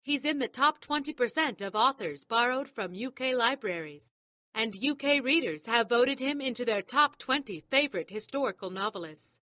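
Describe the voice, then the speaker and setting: A woman's voice: fairly high pitch, lively intonation, normal speed, sharp tone, no echo. A woman presenting a podcast, reading scripted narration close to the microphone.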